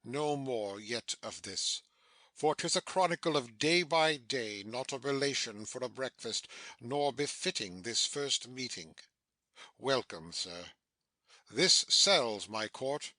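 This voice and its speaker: Guy playing stately older duke in shakespeare, slow n measured, bright forceful voice, dry close sound. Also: mid-range voice, expressive, no room sound. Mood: stately, commanding. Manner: stately, deliberate. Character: dignified older deposed duke, measured and commanding. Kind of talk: dramatic Shakespeare audiobook reading.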